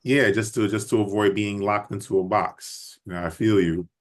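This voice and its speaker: A man's voice: on the low side, steady tone, moderate pace. A man hosting an interview, casually agreeing with the guest and introducing the next segment. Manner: affirming, frequent "right, right" backchannel. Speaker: podcast host.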